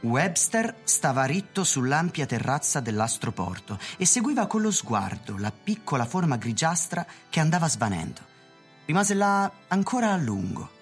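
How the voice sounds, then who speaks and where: A woman reading literary narration for a radio theatre production. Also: low voice. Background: music.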